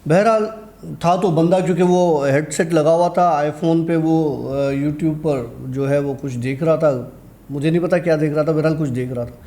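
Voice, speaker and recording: Mellow, higher-pitched man telling a personal tale. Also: moderate pace. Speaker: guest storyteller. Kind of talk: conversational personal horror anecdote.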